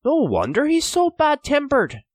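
A man's voice: very high-pitched, wildly swooping pitch, unhurried, no room sound, clean studio sound, voice pushed up, exaggerated voice. A man voicing a wry Irish prisoner who jokes through his misery, exclamatory, in an audiobook story.

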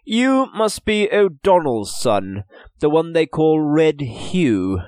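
A man's voice: a little high, very animated, darkish tone, dry recording, clean studio sound, voice pushed up. A man playing a smug pompous merchant. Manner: smirking, leering, drawn-out. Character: smug pompous English merchant, middle-aged.

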